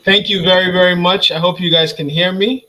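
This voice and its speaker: A young man giving an urging, energetic rallying speech on a video call. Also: high voice, a little fast, poor audio. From Nigeria. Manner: energetic, urging, repeats points. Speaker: guest speaker.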